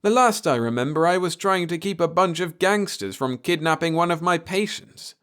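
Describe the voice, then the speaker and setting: Male reader as confused doctor hero, stubborn, urgent, questioning, higher-pitched, clear, pulp storybook. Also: lively intonation, moderate pace, a bit bright. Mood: bewildered, insistent. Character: bewildered, stubborn male doctor kidnapped into space.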